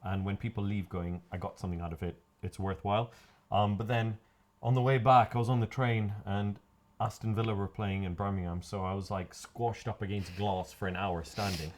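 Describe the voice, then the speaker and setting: Low-pitched male co-host, friendly, chatty, asks follow-ups. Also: fairly even intonation, normal speed. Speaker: podcast co-host. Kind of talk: casual chat.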